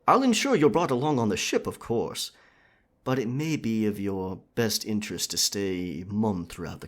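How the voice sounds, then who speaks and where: A man's voice: on the low side, close to an everyday voice. A man voicing an earnest, courteous royal knight in a scripted roleplay audio, speaking formally and chivalrously. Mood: cautious planning. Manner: formal, chivalrous, wordy speech.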